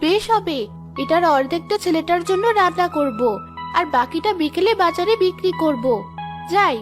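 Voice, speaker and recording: A woman's voice: very high-pitched, lively intonation, normal speed, music in the background. A woman voicing a poor, devoted, worried young village mother in a cartoon, with a dramatic, exclaiming delivery. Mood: surprised. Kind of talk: Bengali cartoon voice acting.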